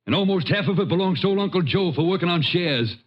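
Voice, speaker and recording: An actor playing a righteous, quick-tempered farmer with a rural Texan drawl in a vintage radio western. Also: male voice, sits high, lively intonation. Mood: firm, indignant. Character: righteous, hard-working farmer, stern and quick-tempered brother. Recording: muffled vintage radio recording.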